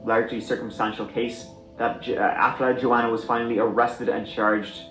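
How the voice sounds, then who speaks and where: A man's voice: medium pitch, level tone, brisk, a bit bright, roomy sound, faint music behind, rough recording. A man telling a true crime story in a casual, conversational way with wry asides.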